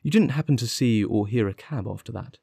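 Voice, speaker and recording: A man voicing a confident, impatient gentleman detective, articulate and sardonic, in an audiobook. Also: medium pitch, a little fast, creaky, slightly rough, dry recording, studio quality. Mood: impatient probing. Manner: articulate, commanding, witty. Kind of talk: audiobook character dialogue.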